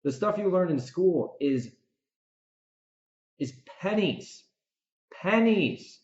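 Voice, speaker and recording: A man's voice: sits high, expressive, takes it slowly, darkish tone, reverberant, dull audio. A man delivering reflective solo podcast commentary, speaking emphatically and repeating phrases to drive points home. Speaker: podcast host and author. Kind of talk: reflective solo recap commentary, part rant.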